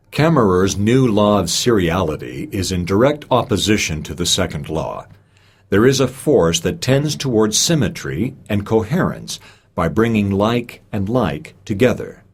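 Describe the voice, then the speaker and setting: A man reading a scholarly lecture from a script, with emphatic, rhetorical delivery and deliberate stresses. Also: on the low side, husky. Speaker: reader of a recorded speech.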